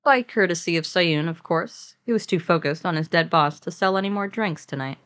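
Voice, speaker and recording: A woman's voice: on the low side, a little fast, fairly bright tone, clean tone. A woman co-narrating a scripted story in a conversational, playfully bantering way. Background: plucked guitar music.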